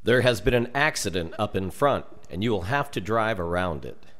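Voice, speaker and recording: A man's voice: fairly low pitch, animated, normal speed, a bit bright. A man voicing a helpful, matter-of-fact police officer in a storybook, speaking formally and informatively.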